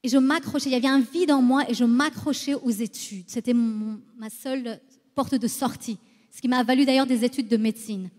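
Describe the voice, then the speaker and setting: High-voiced Iranian-born woman in echoing hall. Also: steady tone, brisk, a little mellow. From Iran, moved to France at eleven. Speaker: guest giving testimony. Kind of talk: personal church testimony. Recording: reverberant hall, long echo.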